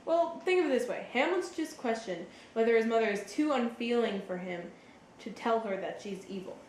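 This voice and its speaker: A woman's voice: fairly high pitch, animated, moderate pace, darkish tone, lightly acted. A woman acting as a patient, knowledgeable explainer, giving casual literary commentary in a conversational way. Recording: roomy echo, faint background noise.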